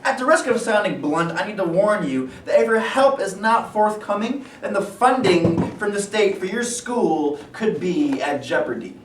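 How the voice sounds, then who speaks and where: A man playing a smooth pressuring businessman, distant, echoey. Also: high-pitched, lively intonation, normal speed, few pauses. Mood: veiled menace. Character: smooth, pressuring well-born steel company man, veiled threats. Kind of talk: staged play reading. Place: indoor room at a live staged reading. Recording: echoey room, distant mic, noisy.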